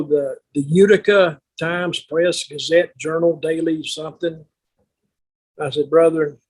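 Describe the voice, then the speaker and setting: An older man with a husky, raspy voice that sits a little high. He tells anecdotes casually, like a veteran on a podcast, blunt and salty, slipping into remembered exchanges. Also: darkish tone, low-quality recording. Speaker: veteran wrestler telling stories on a podcast.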